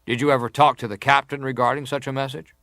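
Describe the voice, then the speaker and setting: An actor playing an American senator who questions a witness formally, measured and insistent, in a dramatized hearing. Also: male voice, mid-range voice, flat delivery, pushed and bright, dry recording.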